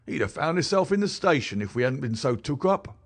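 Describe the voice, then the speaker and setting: A rough constable , put on by a man reading the book, talks loud and raised in pitch, full of grievance and rustic grammar. His voice swings a lot as he tells his tale, in every line. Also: big pitch swings, fairly quick. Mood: indignant. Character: rough working-class male London police constable, defensive. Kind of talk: audiobook character voice.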